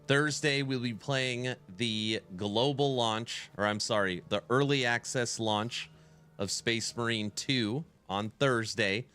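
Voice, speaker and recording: A man's voice: medium pitch, slow, clean tone. Guy, stuffy nasal voice from a cold, game streamer reading chat, easygoing, faint music behind. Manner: relaxed, answers chat questions aloud. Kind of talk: casual live-stream chat.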